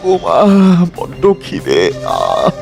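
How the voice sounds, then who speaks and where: A man's voice: very deep voice, huge pitch swings, takes it slowly, vocal fry, heavily acted. A man voicing a humble, loyal, fretful servant in a cartoon, pleading and repeating his phrases. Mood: weary, anxious. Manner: pleading, breathless repetition of phrases. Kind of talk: melodramatic cartoon voice acting. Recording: prominent music behind the voice.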